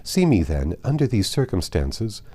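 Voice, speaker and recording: A man narrating a classic novel aloud as an audiobook reader, in a measured, formal and expressive way with stressed phrases. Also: fairly low pitch, slightly rough.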